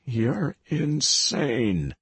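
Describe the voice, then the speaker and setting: A man's voice: medium pitch, expressive, a bit bright, breathy edge, dry recording, full character voice. Man playing a strained, whispering tortured captive. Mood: pained defiance. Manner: halting, stiff, as though in great pain. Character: tormented captive host body, whispering in pain. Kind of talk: audiobook character voice.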